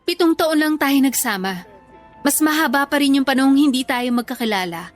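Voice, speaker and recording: A woman's voice: high-pitched, animated, brisk, faint music behind. A woman playing a weary, blunt ex-girlfriend in a radio drama, firm and exasperated. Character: weary, blunt ex-girlfriend firmly ending a long relationship. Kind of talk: emotional radio drama dialogue.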